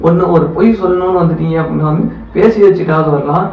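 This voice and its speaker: Man, colloquial video commentary, slightly high pitch, crackly voice, roomy dull distant recording. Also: quick pace, vocal fry. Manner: colloquial, conversational, critical. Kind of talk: casual reaction commentary. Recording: distant, echoey, dull with faint noise.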